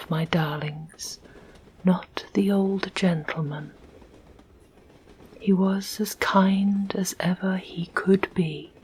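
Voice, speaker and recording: A woman's voice: on the low side, darkish tone, a little hiss or hum. A woman voicing a loving but stern Victorian mother in a storybook, speaking in a whisper.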